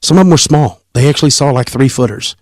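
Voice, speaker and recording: A man, hosting a casual podcast and reading a story aloud in an animated, teasing way. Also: mid-range voice, quick pace, dry recording. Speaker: podcast host.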